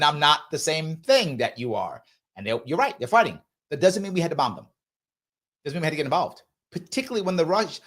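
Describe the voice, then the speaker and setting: A man's voice: a little high, a bit bright. A man hosting a live talk show, reading viewer comments aloud and arguing his points emphatically, often tagging "right?" onto his sentences. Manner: emphatic, conversational, repeats himself, frequent "right?". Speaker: host.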